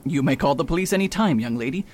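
Man playing a pompous, lively doctor-detective. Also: on the high side, fast talker, slight background noise, close to an everyday voice. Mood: confident, insistent. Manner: pompous, precise. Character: pompous male doctor-detective, confident in his deductions. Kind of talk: radio drama acting.

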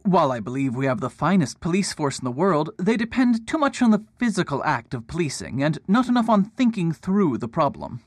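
A man's voice: a little high, very animated, fairly quick, few pauses, dry recording. A man playing a proud, pompous detective and academic in a radio drama, with precise, wordy delivery. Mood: self-assured.

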